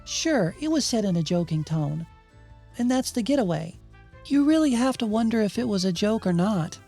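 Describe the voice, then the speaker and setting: A woman's voice: medium pitch, lively intonation, normal speed, dark tone, faint music behind. A woman hosting and narrating a scripted video essay. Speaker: narrator and host.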